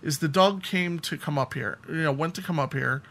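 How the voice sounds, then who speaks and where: Higher-pitched man chatting casually. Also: a little fast, fairly bright tone. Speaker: podcast co-host. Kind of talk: casual chat, telling personal anecdotes.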